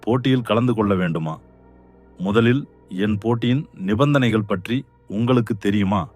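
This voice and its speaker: A man's voice: fairly low pitch, normal speed, a little mellow, faint music behind, close to an everyday voice. A man voicing a greedy, scheming king in a children's cartoon, speaking in a stern, boastful, commanding way. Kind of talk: cartoon voice acting.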